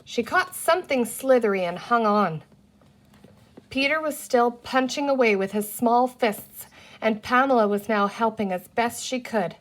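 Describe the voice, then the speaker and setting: A woman's voice: fairly high pitch, measured pace. A woman reading a children's book aloud as the narrator of the story. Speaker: storybook reader.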